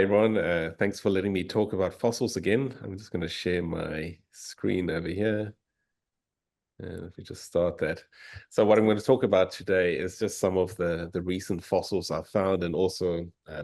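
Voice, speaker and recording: Deep-voiced South African man presenting. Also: a little mellow. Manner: easygoing and enthusiastic. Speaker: fossil hunter and guest speaker. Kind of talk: informal slide presentation. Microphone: video call.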